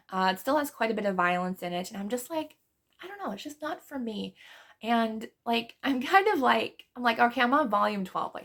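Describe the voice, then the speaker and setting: A woman's voice: medium pitch, a bit bright. A woman book vlogger losing her voice, chatting casually through her monthly reading wrap-up with rambling asides and small laughs.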